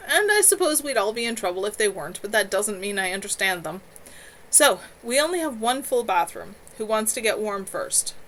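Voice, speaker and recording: A wry, easygoing middle-aged man, read by a woman in her own voice. His humor is dry and relaxed. He speaks at a middle pitch, as in a storybook read aloud. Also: normal speed, slight background noise, poor audio.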